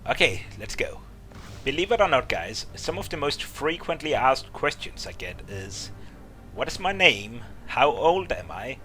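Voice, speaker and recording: A man's voice: mid-range voice, big pitch swings, unhurried, pushed and bright, soft background music. A man in his twenties with a Danish accent, chatting casually in a Q&A vlog and rambling with many fillers and restarts. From Denmark. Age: 20s. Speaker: YouTuber.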